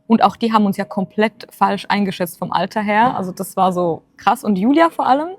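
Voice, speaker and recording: A woman's voice: medium pitch, expressive, a little fast, a little mellow, dry recording. A woman in her late twenties talking earnestly and encouragingly in a casual chat, with many filler sounds. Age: 20s. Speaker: co-host.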